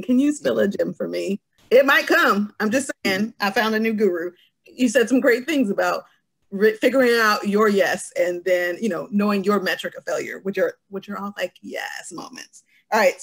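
Lady in her 30s hosting a video call panel, gravelly fry, chatty and playful. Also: medium pitch. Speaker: panel moderator, host. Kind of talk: casual moderating of an online panel. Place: small room indoors, video call. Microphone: computer video call.

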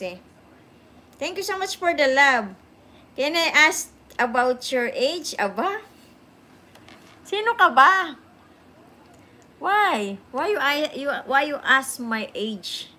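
Sleepy high-voiced woman in her sixties. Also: lively intonation, slow pace, pauses often, sharp tone. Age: 60s. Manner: chatty, mixes languages, repeats thanks to viewers. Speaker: livestream host. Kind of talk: casual livestream chat reading comments. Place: indoor room with sofa. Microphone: phone camera at arm's length.

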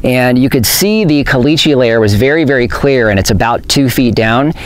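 A man's voice: mid-range voice, lively intonation, moderate pace, no room sound. Practical man explaining outdoors, dry sound. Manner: plain, practical, talks with his hands. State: a little out of breath. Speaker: homesteading vlogger. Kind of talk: casual how-to explanation. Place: outdoors on open desert farmland.

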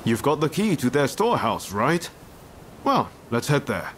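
An actor playing an easygoing, idealistic wandering ronin in a video game, with a teasing, confident manner. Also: male voice, medium pitch, big pitch swings, moderate pace, a little hiss or hum, lightly acted. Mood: casual, sly. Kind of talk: video game dialogue acting.

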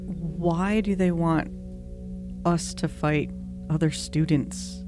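A woman's voice: low-pitched, fairly even intonation, takes it slowly. A young woman improvising dialogue as a tabletop game player, with direct, challenging questions. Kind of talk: improvised in-character dialogue in everyday voice. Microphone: close podcast microphone on stand. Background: instrumental music.

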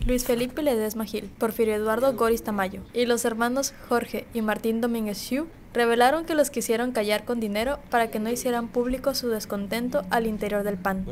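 A woman reporter voices a scripted news report in a steady, formal read. Her voice sits fairly high, and faint background noise runs under it. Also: a little fast, few pauses.

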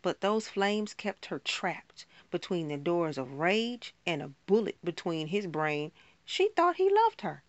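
A woman performing a spoken word poem in a rhythmic, rhyming delivery with dramatic pauses. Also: lowish voice, big pitch swings, dry recording, lo-fi sound.

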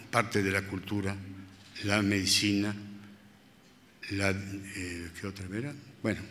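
An elderly man in his eighties, from Spain, reminisces at a panel in a large museum hall. His low voice has a bright, forceful edge, broken by pauses and self-corrections. A long hall reverb trails behind every phrase from the table microphone. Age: 80s. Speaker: restorer and panelist. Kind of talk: panel talk, personal reminiscence. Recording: hall reverb from public-address room.

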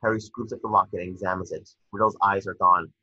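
Low, husky young man narrating. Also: fairly even intonation, fast, pushed and bright, dry recording. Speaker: narrator reading stage directions. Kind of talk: scripted screenplay narration. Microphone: computer mic on video call. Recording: dull, dry video-call audio.

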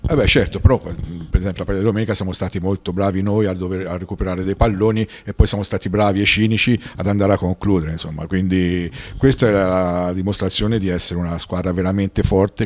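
A man in his fifties, a guest on a football talk show, giving measured, emphatic opinions into a handheld mic in a studio. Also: deep voice. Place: studio with dark curtain. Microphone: handheld mic held by the host.

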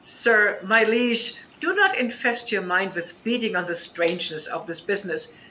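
A woman playing a composed, commanding sorceress in a Shakespearean radio play, delivering verse with measured authority. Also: medium pitch, animated, sharp tone. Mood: assured. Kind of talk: Shakespearean radio drama acting. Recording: faint noise behind online recording.

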